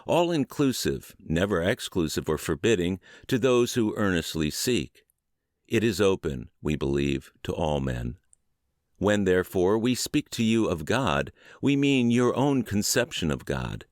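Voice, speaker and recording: A man reading scripted audiobook narration in a measured, earnest and expressive way. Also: low-pitched, no room sound, studio quality. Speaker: audiobook and podcast reader.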